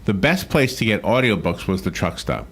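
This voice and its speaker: A man's voice: fairly low pitch, very animated, normal speed, a bit bright, slightly rough. A middle-aged or older man hosting a casual radio interview, asking questions and reacting conversationally. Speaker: radio show host.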